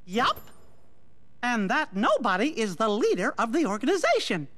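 A man voicing a small cartoon mouse king for a video game, folksy, gentle and earnest in manner. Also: very high voice, huge pitch swings, normal speed, slight background noise, heavily acted. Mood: earnest, explaining. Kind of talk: video game cutscene acting.

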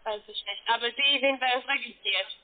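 Female call center agent, foreign accent, high voice, thin telephone sound. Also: a little fast. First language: not German. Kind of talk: scripted sales call. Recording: tinny telephone line.

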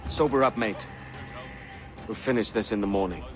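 An actor playing a roguish, protective pirate assassin in a video game, speaking in a matter-of-fact way. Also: male voice, on the low side, normal speed, music in the background, close to an everyday voice. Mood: reassuring. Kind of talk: video game dialogue acting.

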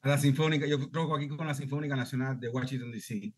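A man's voice: mid-range voice, fairly even intonation, fast, a little mellow, husky. Earnest Cuban man on a headset. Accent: Cuban Spanish. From Cuba. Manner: earnest, talkative, keeps checking he is understood. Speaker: student asking a question. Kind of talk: video call Q&A. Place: home room, indoors.

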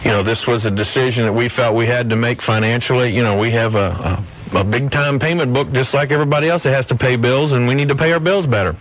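Male radio host for a phone-in show. Informal, folksy delivery, mid-range pitch. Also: fast talker, low-quality recording. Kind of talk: live call-in radio chat.

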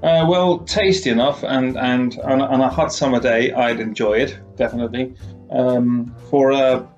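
A man's voice: mid-range voice, fairly even intonation, moderate pace, darkish tone, muffled recording, soft background music. A middle-aged man vlogging in a living room, giving rambling, wordy reviews and sarcastic commentary. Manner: sardonic, wordy. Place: small living room, indoors.

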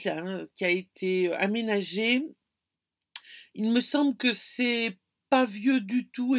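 A middle-aged woman chatting casually to her viewers from inside a camper van, hesitant and full of fillers, filmed close up. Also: medium pitch. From France. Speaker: vlogger. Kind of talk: casual travel vlog. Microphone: phone or camera close to face.

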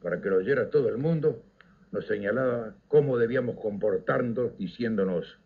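An older man with a Uruguayan Rioplatense accent, telling nostalgic stories on radio in a theatrical, declamatory way with dramatic pauses. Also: lowish voice, slightly rough. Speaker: radio storyteller and host. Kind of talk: nostalgic scripted radio narration. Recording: slightly dull, lo-fi radio recording.

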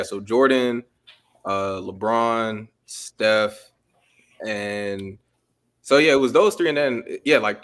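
A man's voice: fairly low pitch, a bit bright. A man in casual sports talk on a video call, hesitant and full of fillers and restarts. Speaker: podcast panelist.

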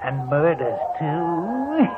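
An actor playing a spooky, cackling old hermit in an old radio horror show, with a drawn-out, eerie delivery. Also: male voice, fairly high pitch, very animated, heavily acted. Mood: gleefully menacing. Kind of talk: 1940s radio horror acting. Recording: noisy tinny old radio recording.

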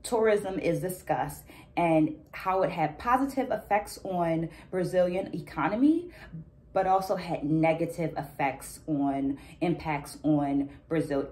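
A woman in her thirties giving a reflective, deliberate explanation of a book as a vlog host, talking to camera. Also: low-pitched, darkish tone. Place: small indoor room at home.

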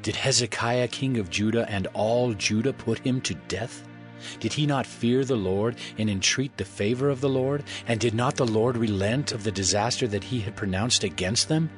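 A man playing a grave elder who reasons in measured oratory in a dramatized scripture reading. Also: medium pitch, soft background music, lightly acted. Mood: grave, reasoning.

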